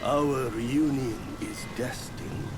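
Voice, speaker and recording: An actor voicing a boastful but friendly mentor figure who speaks in a grand, poetic, unhurried way in a game cutscene. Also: male voice, mid-range voice, music in the background. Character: boastful, genial old male mentor in the snow. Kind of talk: video game cutscene acting. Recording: loud orchestral score behind.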